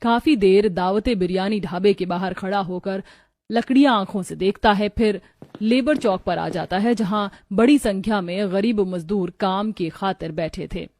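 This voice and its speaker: A woman's voice: mid-range voice, moderate pace, a little mellow, no echo. A woman giving scripted, dramatic storytelling narration for a moral tale.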